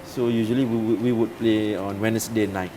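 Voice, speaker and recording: A middle-aged man from Malaysia, giving an interview as a guest and talking in a halting way, with many repeated words and fillers. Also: fairly low pitch, steady tone, background noise. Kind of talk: casual interview talk.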